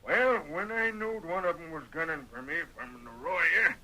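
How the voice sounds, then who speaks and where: An actor playing a crusty, bossy old rancher with an American Western accent, telling things in a folksy, cantankerous way in old-time radio style. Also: male voice, on the high side, sing-song, sharp tone, a little hiss or hum, full character voice. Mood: grim recollection. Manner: folksy, cantankerous, deliberate storytelling. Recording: thin, tinny old radio recording.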